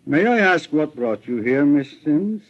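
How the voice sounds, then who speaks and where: An actor playing a courteous, authoritative private investigator in a 1940s radio drama, speaking in formal, measured questions. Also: male voice, fairly high pitch, animated. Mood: polite, probing. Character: composed, courteous older male private investigator, probing and authoritative. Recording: dull old-time radio broadcast recording.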